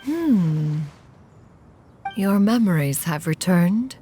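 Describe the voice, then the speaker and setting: A woman's voice: on the low side, expressive, extremely slow, mellow, faint music behind. A woman playing a composed, aloof female immortal in a video game, speaking in a stately and formal manner. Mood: composed, solicitous. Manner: stately, formal, refers to herself as one. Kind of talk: game voice acting.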